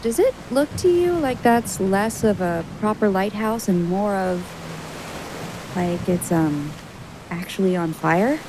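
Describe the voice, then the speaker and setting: A woman playing a wry, grumbling young traveller with a creaky voice and a casual, dry manner in an audio drama. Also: mid-range voice, animated, slow pace. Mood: uneasy. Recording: noisy ship ambience.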